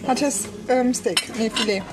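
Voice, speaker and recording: A woman's voice: on the high side, moderate pace. A young woman vlogger talking casually and enthusiastically, often exclaiming, into a handheld camera in a restaurant. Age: young adult. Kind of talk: casual follow-along travel vlog. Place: busy indoor themed restaurant. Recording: loud restaurant ambience, clattering dishes.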